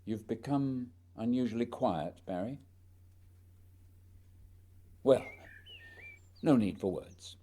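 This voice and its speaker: An actor playing a reserved, earnest polar explorer in a radio play, with measured, formal phrasing. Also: male voice, medium pitch, dark tone, a little hiss or hum, close to an everyday voice. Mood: earnest, warm.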